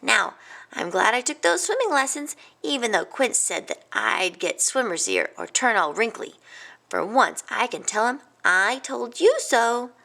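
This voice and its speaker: A woman's voice: a little high, very animated, measured pace, creaky, sharp tone, full character voice. A woman voicing a naive, earnest young male pig in a children's storybook. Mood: pleased.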